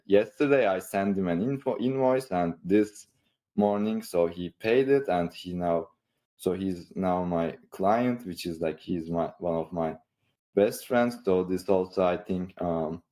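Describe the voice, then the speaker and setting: A man with a Turkish accent talking casually on a podcast in a halting, rambling way, leaning often on "like". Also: deep voice, mellow. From Istanbul, Turkey. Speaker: online creator and coach, podcast participant.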